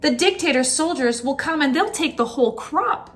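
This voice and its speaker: A woman's voice: high-pitched, lively intonation, normal speed, voice pushed up. A woman voicing a brave, generous young village girl in a children's storybook. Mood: wary.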